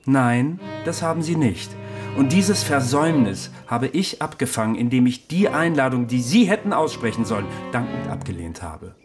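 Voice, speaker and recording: An actor in a radio drama playing a smug, bossy official with pointed, commanding politeness. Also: male voice, mid-range voice, sing-song, normal speed, music in the background, natural voice. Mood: smug, imperious. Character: smug Nazi-era official, coldly courteous and bossy.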